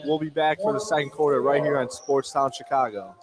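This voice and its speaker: A man's voice: fairly high pitch, fairly quick, over a noisy crowd. A man doing live radio play-by-play of a basketball game in clipped short phrases, exclaiming on big plays. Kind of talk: live radio sports commentary. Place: indoor gym during a live game. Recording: noisy basketball gym ambience. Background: bouncing ball and gym noise.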